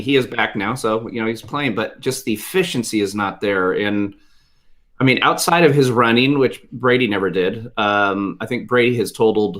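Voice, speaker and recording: A man's voice: medium pitch, a bit bright. A man giving casual sports analysis as a panelist, rephrasing himself as he reasons it out. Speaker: guest panelist on a sports show.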